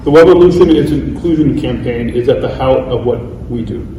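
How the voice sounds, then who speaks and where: Mellow man reading from a distant mic. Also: mid-range voice, brisk, slight background noise. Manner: reads steadily, trips on some words. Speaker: panelist, athlete speaker. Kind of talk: reading prepared remarks on a panel. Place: large event hall. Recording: distant mic in a roomy hall.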